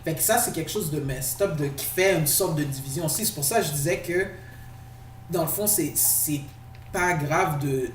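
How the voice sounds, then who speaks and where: A man's voice: a little high, normal speed, far from the mic. A man with a Quebec French accent, co-hosting a podcast, explaining his views in casual talk and slipping English slang into his French. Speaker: podcast co-host. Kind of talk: casual discussion. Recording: echoey room, off-mic, faint noise.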